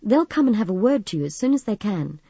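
Woman voicing a kind, husky receptionist. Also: mid-range voice, big pitch swings, fast, dark tone, dry recording, muffled recording, full character voice. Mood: kind, helpful. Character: kind, helpful young woman at hospital desk.

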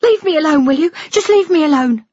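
A woman plays a young adopted daughter in a dramatised audiobook. She sounds wounded, cold and defiant, pitched well up and throwing accusations. The recording is slightly muffled. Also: fairly quick, breathy edge, no room sound. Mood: angry, cold.

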